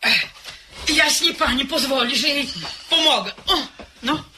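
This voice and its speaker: A woman's voice: high-pitched, unhurried, heavy background noise. A woman playing a talkative, gossipy servant in a radio drama, telling a story with theatrical flair. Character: chatty old female servant gossiping.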